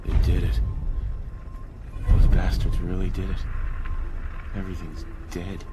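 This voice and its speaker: A man's voice: low-pitched, little pitch movement, measured pace, loud music behind the voice. An actor playing a grim, determined father in a video game. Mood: grief-stricken, grim. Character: distraught father waking from cryogenic sleep, grim and determined.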